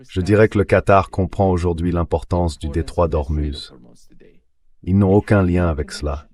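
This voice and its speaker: A man reading a translated voice-over of political analysis in a measured, explanatory way. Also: low voice, a little mellow, lightly acted.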